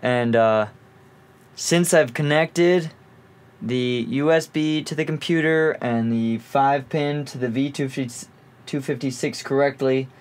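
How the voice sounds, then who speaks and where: A man's voice: medium pitch, slow pace. A man presenting an informal music gear tutorial, explaining in an offhand way as he thinks aloud.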